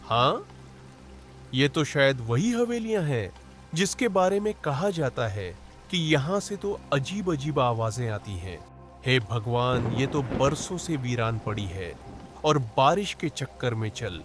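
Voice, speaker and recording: A man's voice: medium pitch, big pitch swings, slow pace, music in the background. A man voicing a poor, hardworking young village man who speaks in rustic village speech and exclaims often, in a cartoon story. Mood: fretful.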